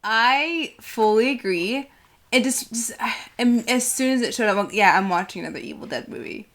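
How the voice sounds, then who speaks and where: A woman's voice: a little high, expressive, moderate pace, fairly bright tone. A young woman co-hosting a podcast in casual chat, enthusiastic and chatty. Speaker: podcast co-host.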